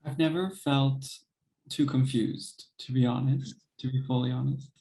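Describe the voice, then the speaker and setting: A young man and composer, speaking thoughtfully in an interview, hesitant with many pauses and soft laughs. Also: mid-range voice, fairly even intonation, creaky, rough recording. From United States. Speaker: composer and pianist guest. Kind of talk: reflective interview conversation.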